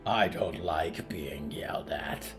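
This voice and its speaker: A man plays a massive, sullen ogre in an audio drama. The voice is very low and whispery, grumbling with quiet menace. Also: slow, soft background music, heavily acted. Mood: sullen, offended. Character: massive, sullen undead ogre with big teeth.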